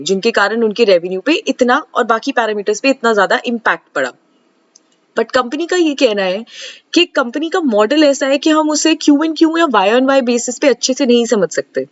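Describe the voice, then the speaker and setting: A woman with an Indian accent and a fairly high voice explains financial material as a presenter. She moves back and forth between Hindi and English. The recording sounds slightly dull and lo-fi. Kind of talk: explanatory stock analysis presentation.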